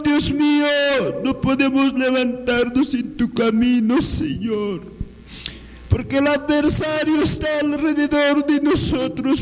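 A man praying fervently and spontaneously in a pleading, impassioned manner, repeatedly calling on God. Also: very high voice. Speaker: worshipper leading prayer. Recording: long echoing hall reverb.

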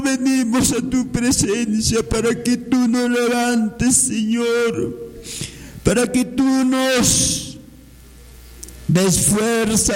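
A man praying fervently and spontaneously in a pleading, impassioned manner, repeatedly calling on God. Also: very high voice. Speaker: worshipper leading prayer. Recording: long echoing hall reverb.

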